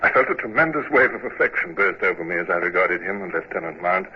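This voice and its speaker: Restrained naval commodore; measured formal delivery, muffled vintage radio sound. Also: male voice, sits low, lively intonation, husky. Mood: reflective. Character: reserved naval commodore narrating his own tale. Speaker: narrator and lead character. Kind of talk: 1940s radio drama acting. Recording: muffled old radio recording with hiss.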